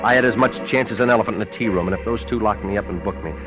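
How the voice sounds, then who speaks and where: An actor as a brisk, hard-boiled private eye. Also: male voice, lowish voice, over music, close to an everyday voice. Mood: urgent narrating. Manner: deadpan, clipped, wry. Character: wisecracking, hard-boiled male private detective. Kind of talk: first-person noir narration in vintage radio drama. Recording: dull vintage radio recording.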